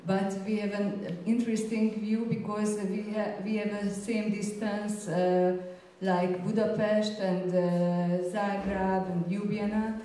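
A middle-aged woman from Hungary with a Hungarian accent gives an informal conference presentation in halting, hesitant non-native speech with frequent pauses. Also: medium pitch, flat delivery, dark tone. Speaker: gallery curator and presenter. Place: indoor presentation room, seated at a panel table. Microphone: handheld microphone through room sound. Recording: very echoey room, distant, faint noise.

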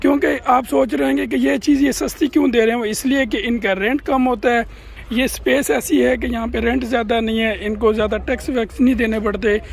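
Man, high voice, chatty market-tour vlogger, phone mic outdoors. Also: steady tone, brisk, creaky, a little hiss or hum. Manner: chatty, keeps addressing viewers as "janab". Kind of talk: walking market tour vlog. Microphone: phone camera held by the walking speaker.

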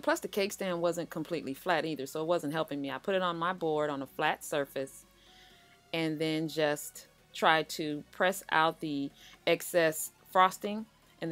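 Cooking-channel host, middle-aged woman, low voice, chatty and reassuring. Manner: chatty, reassuring, speaks to viewers as "you guys". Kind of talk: relaxed voice-over baking tutorial.

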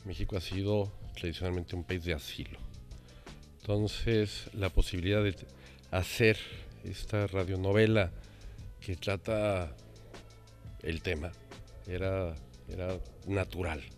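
A man in his fifties from Mexico, a radio director, speaks reflectively in an interview with measured pauses. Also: deep voice, steady tone, faint music behind. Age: 50s. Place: indoor library.

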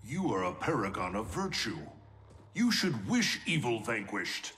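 A man's voice: lowish voice, very animated, measured pace, a bit bright, far from the mic. An actor playing a stern, righteous thunder god in a video game, grave and formal in his speech. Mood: stern, defiant. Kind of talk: dramatic video game cutscene acting.

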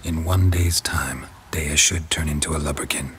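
A man voicing a stoic, blunt, world-weary monster hunter in a video game, terse and deadpan with a gravelly voice. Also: a bass voice, moderate pace, slight background noise. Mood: stern, blunt. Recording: loud music bed.

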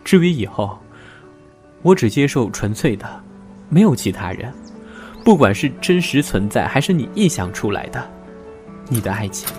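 A man acts out a wounded, guarded young man in an audio drama. He speaks with measured seriousness and resignation in a medium-pitched voice. Soft music plays behind him. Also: sing-song, close to an everyday voice. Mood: quietly firm. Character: reserved, wounded young man setting terms to a former lover.

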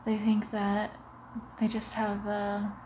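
Woman as a very slow, breathy vampire seductress. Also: mid-range voice, completely flat delivery, a little hiss or hum, lightly acted, poor audio. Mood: smug, amused. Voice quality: breathy, with wet mouth sounds. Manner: slow, hushed, often slipping into whispers, teasing. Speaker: ASMR roleplay performer. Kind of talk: ASMR roleplay, close breathy murmuring. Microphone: close to the mic.

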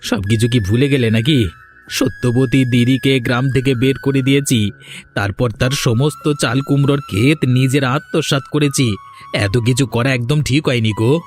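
A man's voice: mid-range voice, fairly even intonation, normal speed, natural voice. Man acting a despairing sick village husband. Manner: despairing, dramatic. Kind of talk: Bengali cartoon voice acting. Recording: faint music behind the voice.

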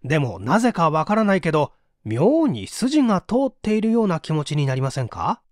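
A man reading a book aloud as a narrator, in a measured, explanatory manner. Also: on the high side, big pitch swings, no room sound, studio quality. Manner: measured, explanatory read-aloud with acted example lines. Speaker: audiobook narrator.

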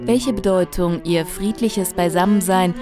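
Woman, news voice-over, measured reading, slightly low voice, music behind. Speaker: reporter.

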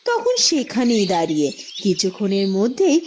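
A woman's voice: medium pitch, wildly swooping pitch, moderate pace, darkish tone, slight background noise. Woman narrating a cartoon fairy tale. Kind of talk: scripted cartoon storybook narration.

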